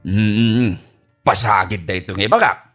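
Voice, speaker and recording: A man's voice: on the low side, a little slow, a bit bright, faint music behind. A man with an Ilocano accent playing a boastful, childish, stubborn husband in a radio comedy drama, with cocky, exaggerated delivery. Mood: resentful, mocking. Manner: cocky, exaggerated, swooping delivery with mocking laughs.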